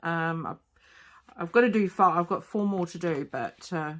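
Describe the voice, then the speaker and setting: A woman with a slightly croaky voice, hosting a casual craft tutorial at home and talking hesitantly with many fillers. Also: fairly low pitch, moderate pace, a bit bright. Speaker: crafting channel host. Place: home craft table, late at night.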